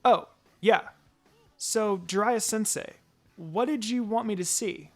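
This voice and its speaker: A man voicing a spirited, cheeky teenage girl ninja in a storybook reading, bright and teasing. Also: sits high, takes it slowly, soft background music, pitched above the performer's own voice. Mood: eager, surprised.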